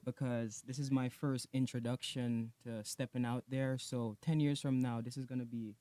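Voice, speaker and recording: A man from Jamaica, a music artist being interviewed on a podcast, telling his story in a relaxed, slangy way with lots of repeated phrases. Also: mid-range voice, normal speed.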